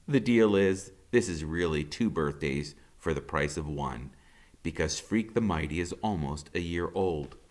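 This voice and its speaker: A man, a teacher, reading a novel aloud in the first person with an expressive, conversational delivery. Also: low-pitched, unhurried. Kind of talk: audiobook narration in first person.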